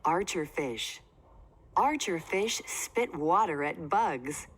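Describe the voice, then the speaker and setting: A woman reading a children's nonfiction book aloud in a deliberate, simply phrased way for young listeners. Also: on the low side, expressive, breathy edge. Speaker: read-aloud narrator. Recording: faint background noise.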